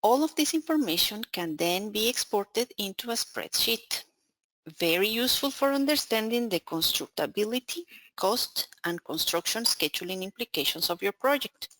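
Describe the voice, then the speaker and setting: A woman with a Spanish accent reads a prepared webinar introduction in a careful, steady voice. The sound is dry and close, with no room around it. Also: mid-range voice, normal speed, no echo, rough recording. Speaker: account manager presenting a webinar. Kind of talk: scripted webinar presentation.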